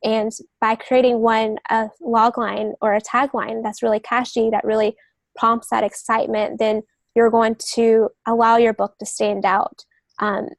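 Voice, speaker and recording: Higher-voiced woman explaining, fairly even tone. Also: creaky, no room sound. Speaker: guest expert, novelist and agent. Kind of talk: conversational podcast explanation.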